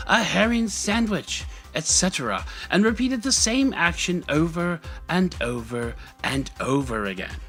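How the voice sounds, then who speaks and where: A man's voice: high voice, fairly bright tone. A man putting on an English accent as a pompous, droll storyteller giving a dramatic reading of comic prose. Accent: put-on English. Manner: theatrical, droll.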